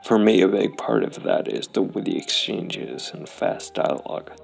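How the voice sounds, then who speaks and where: A man with a low, heavily creaky voice reasons through a role-playing story aloud. His intonation swings widely as he muses, and music plays faintly behind him. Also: big pitch swings, normal speed. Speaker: solo role-playing game player and host. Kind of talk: musing tabletop game narration.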